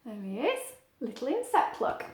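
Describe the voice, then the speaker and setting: Animated woman reading a children's story. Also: high voice, moderate pace, reverberant. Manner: animated, singsong, asking little questions. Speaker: teacher reading a picture book to children. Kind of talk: expressive children's story reading with chatty asides.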